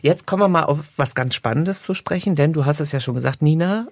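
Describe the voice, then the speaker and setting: A man with a husky voice and some gravel in it hosts a podcast interview. He speaks in an informal, chatty way, asking questions in a conversational tone. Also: fairly high pitch, fairly quick, no room sound. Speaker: podcast host interviewing two guests.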